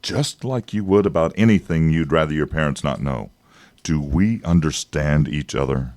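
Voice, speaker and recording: An actor in a radio drama playing an evasive, persuasive government agent, smooth-talking and patronizing, with hesitations. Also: male voice, extremely low pitch, moderate pace, a little mellow, dry recording. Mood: insistent, smug.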